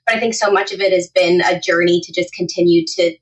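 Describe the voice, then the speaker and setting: A woman's voice: fairly low pitch, fairly even intonation, a little fast, dull audio. A woman in her twenties speaking as a podcast guest in a casual interview, talkative and often rephrasing as she explains. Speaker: podcast guest, etiquette trainer and author.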